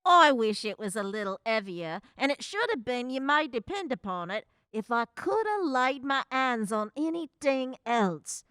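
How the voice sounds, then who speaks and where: A woman's voice: a little high, sing-song, unhurried, few pauses, a bit bright, clean tone, no room sound, studio quality. A woman playing a brazen, grasping working woman in an amateur radio drama, bold and defiant in a theatrical way. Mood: grudging.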